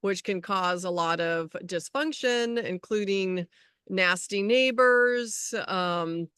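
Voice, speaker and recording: A middle-aged woman co-hosting a casual spiritual chat as an energy healer, talking in a rambling, halting way as she searches for words. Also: medium pitch, fairly bright tone, clear, resonant tone, no room sound, studio quality.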